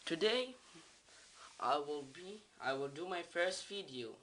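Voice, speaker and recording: A man from the Middle East, a native Arabic speaker with an Arabic accent, giving a casual unboxing demonstration in simple, halting, non-native phrasing. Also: on the high side, animated, bright, forceful tone, slight background noise. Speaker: video creator, product reviewer. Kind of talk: casual unboxing vlog, talking while demonstrating.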